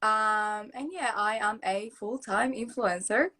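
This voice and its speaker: A woman's voice: medium pitch, slow pace, pushed and bright. A young woman from Argentina with a mixed Argentinian accent, a native Spanish speaker, chatting as a guest on a live video call and laughing often. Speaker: guest influencer.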